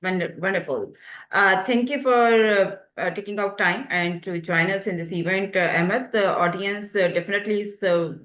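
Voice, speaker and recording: A woman's voice: mid-range voice, normal speed, a bit bright, reverberant. A woman hosting a webinar interview, polite and hesitant, with many fillers. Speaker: webinar host.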